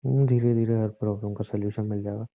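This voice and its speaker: Man playing a low, even-voiced devoted husband. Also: fast, no room sound, natural voice. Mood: tender, reassuring. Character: devoted, reassuring young husband, lightly bossy and teasing. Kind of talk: romantic phone-call style audio drama in Hindi. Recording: muffled, narrow phone-like audio.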